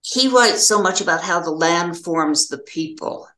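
An older American woman giving reflective interview answers as a novelist, in a halting, thoughtful way with pauses mid-phrase. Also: on the low side, expressive, a bit bright, reverberant.

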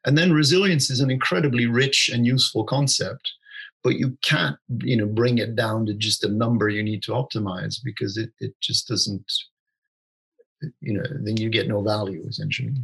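Husky-voiced man explaining ideas, thoughtful. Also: lowish voice, fairly even intonation, moderate pace, fairly bright tone, rough recording. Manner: thoughtful, restarts often, says "you know" and "right?". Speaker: interview guest. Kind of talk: conversational explanation.

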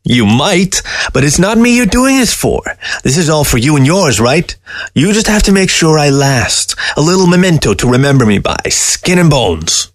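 A sly storybook fox acted out by a man, high-pitched and taunting. It needles its listener with folksy, sardonic teasing, in a dry, close recording. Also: sing-song, moderate pace, no echo. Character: sardonic, taunting dead fox, talking to its taxidermist.

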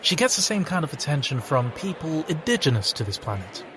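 Audio drama alien, male actor: literal-minded, sober, stiffly formal deadpan, a touch high in pitch. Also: a little fast. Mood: deadpan, serious. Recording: faint background ambience noise.

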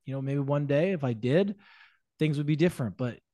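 A man's voice: on the high side, fairly quick, warm tone, no room sound. A man speaking as a guest on a podcast, easygoing and reflective, with lots of fillers. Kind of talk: casual podcast conversation.

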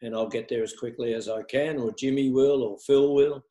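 An older man from Australia recalls his coaching days in a lively, laughing conversational way. His mid-pitched voice sounds roomy and echoey. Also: moderate pace. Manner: animated storytelling with frequent laughs. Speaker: tennis coach, guest. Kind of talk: reminiscing conversation. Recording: echoey room.

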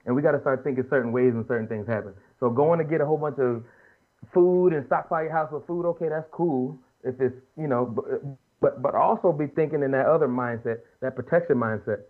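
A man in his late thirties speaks quickly in an earnest, colloquial way, as if arguing a point among fellow panelists. His pitch sits in the middle for a man, and he often rephrases for emphasis. The audio is muffled and lo-fi. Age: late 30s. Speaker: podcast panelist. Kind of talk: casual opinionated panel talk.

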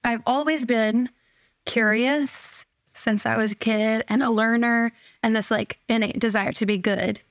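A woman in her thirties, a podcast guest telling her personal story in a reflective, relaxed way. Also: fairly high pitch, a little slow, no echo. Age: 30s.

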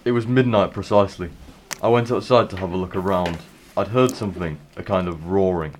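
A man presenting a community radio show and reading a spooky story in a calm, measured way. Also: sits low, slight background noise.

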